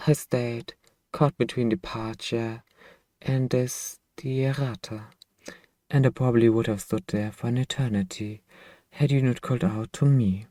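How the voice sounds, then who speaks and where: A man playing a centuries-old vampire master and possessive, tender boyfriend in an intimate ASMR roleplay, speaking softly and wistfully with lingering phrasing. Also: medium pitch, fairly even intonation, measured pace, no echo, close to an everyday voice. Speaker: ASMR voice actor.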